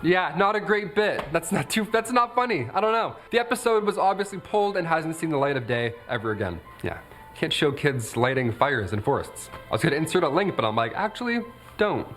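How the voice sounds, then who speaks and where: Animated higher-pitched young American man. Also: a little fast, soft background music. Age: late 20s. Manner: jokey, animated, conversational. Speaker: countdown video host; stand-up comic. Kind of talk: scripted countdown commentary with joking asides.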